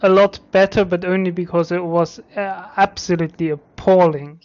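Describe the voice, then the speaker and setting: Lowish woman doing an interview, thoughtful n a bit wry, hesitates, muffled audio. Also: fairly even intonation, moderate pace, darkish tone. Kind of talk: conversational interview.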